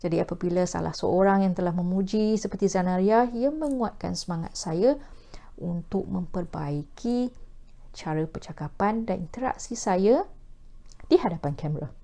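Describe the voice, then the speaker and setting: Casting: Malaysian female host, soft mellow tone, formal and measured newsreader delivery to camera. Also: lowish voice, vocal fry. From Perak, Malaysia. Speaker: host of a talk video series. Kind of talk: formal scripted presentation to camera.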